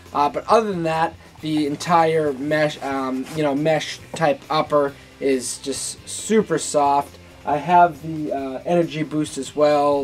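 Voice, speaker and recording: A young man giving a casual product review to camera in an informal, halting way, correcting himself as he goes. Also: on the high side. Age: young adult. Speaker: sneaker vlogger and host. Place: furnished living room, indoors.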